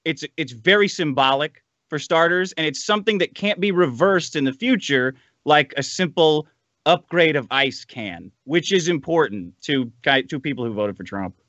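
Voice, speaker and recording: A man's voice: fairly high pitch, lively intonation, moderate pace, a bit bright, no echo, highs cut off. A man speaking as a podcast panelist in a casual political debate, opinionated and casually profane.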